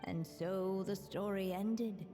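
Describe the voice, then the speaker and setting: A woman acting as a solemn, measured storybook narrator telling a dark fairy tale in a game. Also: medium pitch, steady tone, over music, natural voice. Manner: measured, grave. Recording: long reverberant hall effect.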